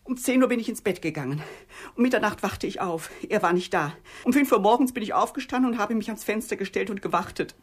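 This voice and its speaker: An actress playing the anxious, distraught wife of a missing man, hurried and worried, in a 1960s German radio crime drama. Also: medium pitch, slightly rough, natural voice.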